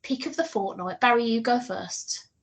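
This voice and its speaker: A woman with a slightly husky voice, bantering casually and playfully as a podcast co-host. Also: a little high, normal speed. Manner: playful, giggly, rambling.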